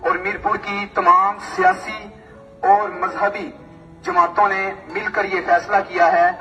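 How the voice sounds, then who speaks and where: A man making a formal public announcement over a mosque loudspeaker, declaiming in measured short phrases. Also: high voice, vocal fry, far from the mic. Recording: muffled, echoing mosque loudspeaker from afar, music.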